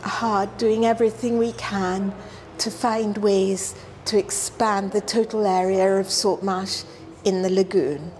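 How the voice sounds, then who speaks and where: A middle-aged woman who grew up in London, explaining environmental work in a relaxed sit-down interview, earnest and measured. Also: medium pitch, a little hiss or hum. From South Africa, raised in London. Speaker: environmental scientist, interview guest. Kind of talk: conversational interview. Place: hotel lounge, seated on a sofa indoors.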